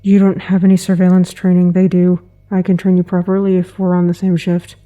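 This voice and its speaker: An actress playing a stiff, guarded security officer in an audio drama, speaking in clipped, deadpan phrases. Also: female voice, lowish voice, fairly quick, lo-fi sound, natural voice. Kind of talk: naturalistic audio drama acting.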